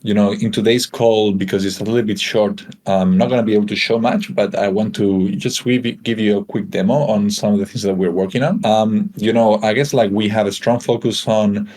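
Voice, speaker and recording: A man from Spain giving a casual technical presentation as a guest engineer on a video call, relaxed and full of fillers and restarts. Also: on the low side, fairly quick, hardly any pauses.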